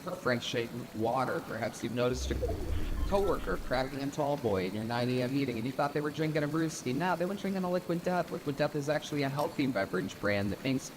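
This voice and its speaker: A man's voice: medium pitch, lively intonation, quick pace, noisy background. A man reading a sponsor ad in an upbeat, jokey way. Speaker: ad reader. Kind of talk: read sponsor ad.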